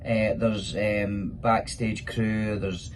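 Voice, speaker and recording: Casting: Scottish male actor, deep voice, casual and enthusiastic Q&A chat, noticeable background noise. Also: flat delivery, extremely slow. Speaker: pantomime actor. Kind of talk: casual spoken question-and-answer for children.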